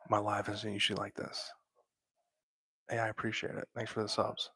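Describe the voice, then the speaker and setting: A young man whispering softly into a close microphone while hosting a laid-back late-night livestream from bed at home. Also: lowish voice, completely flat delivery, normal speed, creaky, breathy edge, no room sound. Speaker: livestream host.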